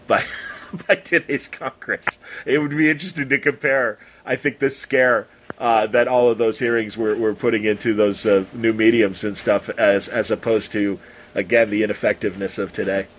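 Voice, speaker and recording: A man's voice: lowish voice, steady tone, moderate pace, slight background noise. An American man hosting a casual interview, talking in a rambling, effusive way. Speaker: podcast interviewer.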